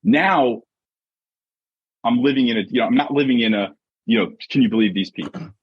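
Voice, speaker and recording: A husky-voiced man giving an emphatic, conversational motivational talk as a coach and podcast guest, often checking in with short rhetorical questions. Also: mid-range voice, brisk, no echo. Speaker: podcast guest, sports mindset coach and author. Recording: dull, muffled lo-fi audio.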